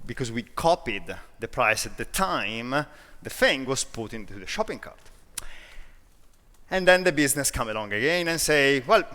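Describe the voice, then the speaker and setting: Italian guy giving a conference talk, bright voice, lots of pitch swing, hall reverb. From Italy. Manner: self-deprecating, lively, with frequent restarts. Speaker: conference speaker.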